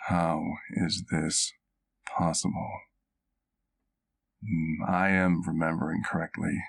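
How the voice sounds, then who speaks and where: A man playing a gentle, protective vampire doctor in an ASMR roleplay, speaking in a soft, measured, careful way. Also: extremely low pitch, little pitch movement, gravelly, full character voice. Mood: concerned, troubled.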